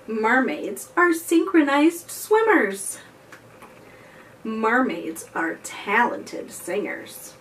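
A woman in her thirties hosting a children's storytime, presenting and reading aloud expressively and deliberately for small children. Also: fairly high pitch, a bit bright. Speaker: children's librarian, storytime host. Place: indoor room before a chalkboard wall. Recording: distant mic, faint background noise.

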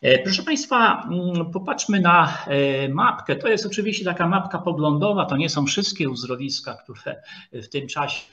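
Halting male lecturer on dull online audio. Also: a little high, expressive, bright, forceful tone. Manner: halting, frequent hesitation sounds and pauses, searching for words; formal, addresses listeners politely. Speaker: lecturer, historian. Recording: dull lo-fi video call audio.

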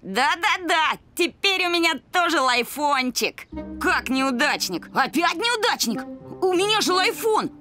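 An excitable young cartoon boy who pleads and brags. The voice is very high and swings up and down with every emphatic phrase. Music plays behind it. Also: a little slow, full character voice. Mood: agitated. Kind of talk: animated cartoon voice acting.

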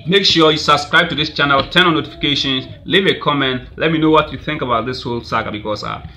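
A man's voice: a little high, fast, pushed and bright, a little hiss or hum. A Ghanaian man in his thirties, filming himself at a webcam in a small room, rambling through celebrity gossip with constant fillers and frequent laughter. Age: 30s. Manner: rambling, laughs often, many "I mean" fillers. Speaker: vlogger. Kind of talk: casual celebrity gossip commentary. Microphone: webcam at desk.

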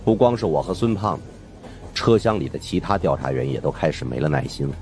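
A man's voice: very deep voice, expressive, fairly quick, a little mellow, soft background music. A man narrating a suspense audiobook in the first person, reading from a script.

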